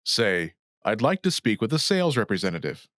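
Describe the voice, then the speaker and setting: A man hosting a language lesson, reading scripted instructions in a clear, didactic way. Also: lowish voice, sing-song, a little fast, background noise.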